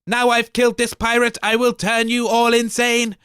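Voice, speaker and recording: A man's voice: very high voice, monotone, normal speed, bright, forceful tone, clean tone, no room sound, studio quality, voice pushed up. A man doing a mad gleeful robot. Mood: manic. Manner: gleeful raised menace.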